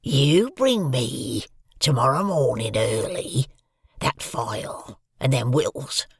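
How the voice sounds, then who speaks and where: A man voicing a hungry, threatening escaped convict, speaking in slow, growling, half-whispered threats. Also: medium pitch, expressive, gravelly, slightly rough, no room sound, studio quality, heavily acted. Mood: menacing. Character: menacing ragged male convict, hungry and threatening.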